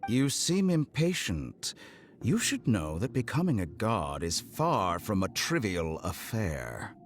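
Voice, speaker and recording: The Doctor, a deep, theatrical villain. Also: male voice, sing-song, slow, full character voice. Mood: smug. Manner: formal, menacingly courteous. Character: the Doctor, cold, sinister male scientist villain. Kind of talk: video game voice acting. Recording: music under the voice.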